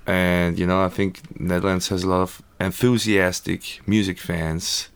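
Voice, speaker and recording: Male musician, middle-aged, low resonant gravelly voice, relaxed and friendly. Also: unhurried. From Austria. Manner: relaxed, laughs easily, friendly. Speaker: musician guest. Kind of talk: casual interview. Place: radio studio.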